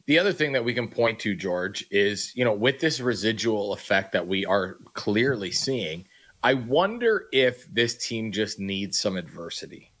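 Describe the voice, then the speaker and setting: Measured sports radio host. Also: male voice, medium pitch, fairly bright tone. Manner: analytical, measured, conversational. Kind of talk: sports talk radio chat.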